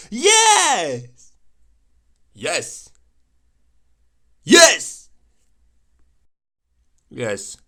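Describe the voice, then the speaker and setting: A man recording short sound effect takes of one word, ranging from whispered to shouted. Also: high-pitched.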